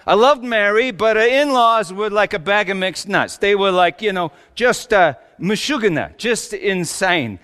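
A man playing Joseph, a wry, bewildered carpenter, in a halting, colloquial and theatrically emotional dramatic monologue. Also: high-pitched, lively intonation, sharp tone, voice pushed up. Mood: exasperated, amused. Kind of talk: dramatic comic monologue in a sermon. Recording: echoey hall with long reverb.

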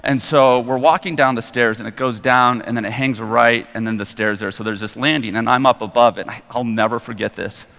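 A man's voice: medium pitch, brisk, gravelly, few pauses. A man of forty with an American accent, giving an informal, self-deprecating personal testimony as a guest preacher in a large hall. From Colorado, United States. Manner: casual, self-deprecating, animated retelling of dialogue. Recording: reverberant hall.

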